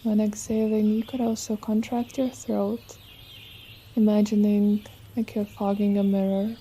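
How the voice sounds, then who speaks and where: A woman guides a relaxation meditation in a slow, soothing voice. Her delivery is gentle and quite even, with little rise or fall. Faint nature sounds and birdsong sit behind her. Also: medium pitch, a little hiss or hum. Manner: soothing, unhurried, gentle instruction. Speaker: yoga nidra teacher.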